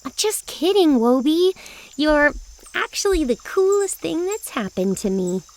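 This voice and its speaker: A woman playing a feisty, teasing young girl in a kids' audio drama, excitable and sassy. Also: sits high, unhurried, exaggerated voice. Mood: sassy, eager.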